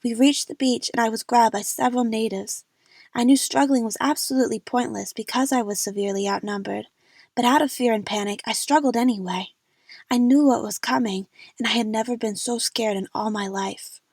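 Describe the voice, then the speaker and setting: A woman's voice: fairly high pitch, fairly even intonation, fairly quick, darkish tone, no echo, studio quality. A woman narrating a first-person story dramatically for an amateur audio drama.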